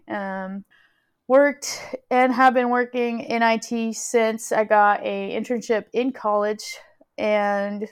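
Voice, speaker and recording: A woman in her twenties co-hosting a casual podcast, chatty with frequent laughs and fillers. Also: mid-range voice. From California. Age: 20s. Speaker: podcast co-host and interviewee. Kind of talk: casual conversational interview.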